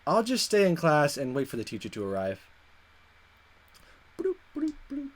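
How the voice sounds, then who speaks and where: A man's voice: fairly high pitch, huge pitch swings, normal speed. A man hosting a gaming video, chatting casually and playfully as he reads a visual novel aloud. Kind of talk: casual let's play commentary and read-aloud narration.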